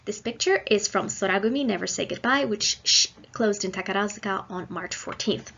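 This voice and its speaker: A woman delivering a scripted academic lecture in a measured, explanatory manner. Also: mid-range voice, creaky, fairly bright tone, highs cut off, a little hiss or hum. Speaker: guest lecturer.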